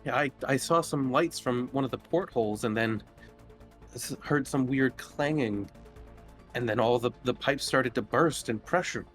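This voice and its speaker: A man's voice: mid-range voice, moderate pace, a bit bright, lightly acted. A man voicing a panicked woman who works in a spaceship-style hydroponics bay in a tabletop game, with music behind. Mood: shaken. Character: panicked woman hydroponics worker calling for help.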